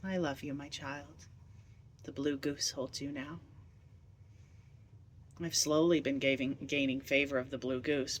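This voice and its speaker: A man giving a dramatic poetry reading with deliberate, whimsical, theatrical delivery. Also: on the high side, fairly bright tone, slight background noise. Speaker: poet reading own poems.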